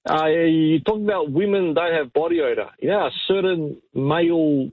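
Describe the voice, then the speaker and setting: High-pitched husky man on a phone line. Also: normal speed, no room sound. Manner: insistent, excitable, repeats himself. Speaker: talk radio phone-in caller. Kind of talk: animated banter and argument. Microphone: phone call. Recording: tinny narrow phone line.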